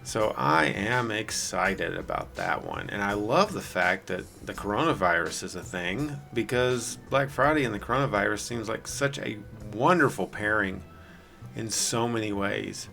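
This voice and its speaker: A man with a raspy, hoarse voice giving rambling, self-deprecating casual commentary as a gameplay video host. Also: lowish voice, a little slow, sharp tone, soft background music. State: hoarse from singing the night before.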